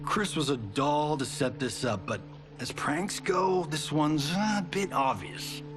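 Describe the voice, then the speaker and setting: An actor playing a cocky, glib Hollywood action star in a video game, with an American accent and a sarcastic, theatrical manner. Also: male voice, a little high, takes it slowly, over music. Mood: amused, dismissive. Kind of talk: cinematic video game dialogue.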